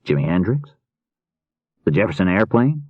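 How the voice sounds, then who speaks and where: A man as a low-voiced, easygoing police detective. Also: very animated, normal speed, breathy edge, no room sound, highs cut off, full character voice. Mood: friendly, awkward. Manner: matter-of-fact, explanatory. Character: easygoing, patient middle-aged male police detective and father. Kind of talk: audiobook character dialogue.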